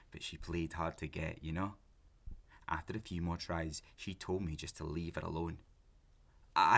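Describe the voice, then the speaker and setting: An actor playing an obsessive, pleading, lonely lovesick man in an audio drama, halting and intense as he justifies himself. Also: lowish voice, fairly bright tone, heavily acted. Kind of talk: naturalistic audio drama acting.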